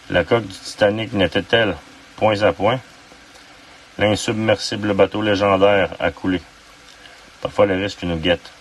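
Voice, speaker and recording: A low-pitched man recites poetry as an amateur poet. He phrases it deliberately and haltingly. He is recorded at some distance from the mic, with faint noise behind him.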